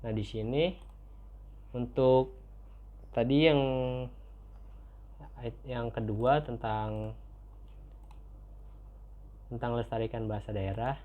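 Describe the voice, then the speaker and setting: The audio is narrow and muffled, like a phone line. A young man with an Indonesian accent speaks at a middling pitch. He presents like a student cadet before a lecturer, semi-formal and halting, doubling back to repeat his phrases. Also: fairly even intonation. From Tangerang, Indonesia. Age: young adult.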